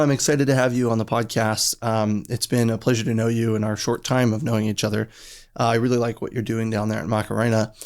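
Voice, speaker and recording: A man hosting a casual podcast interview, asking questions in a relaxed way with many fillers. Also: on the low side. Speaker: podcast host.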